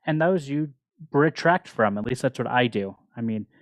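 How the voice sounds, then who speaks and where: A man's voice: medium pitch, lively intonation, normal speed, no echo. A man co-hosting a book club podcast, talking casually and explaining his thoughts in a reflective way.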